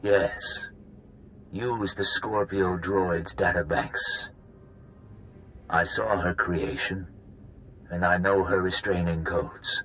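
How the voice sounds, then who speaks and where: A man voicing a grave, ancient founder of a secret cabal, telling history deliberately in a video game. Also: low voice, fairly even intonation, a bit bright, slight background noise, full character voice. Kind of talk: video game cutscene dialogue.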